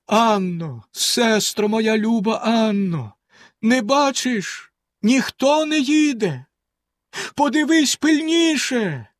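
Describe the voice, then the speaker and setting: A desperate young wife in a storybook, begging and calling out in a high, strained voice, as a male narrator puts her on. The sound is close and dry. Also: very animated, measured pace, breathy edge, no room sound, exaggerated voice. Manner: high, pleading, tearful voice.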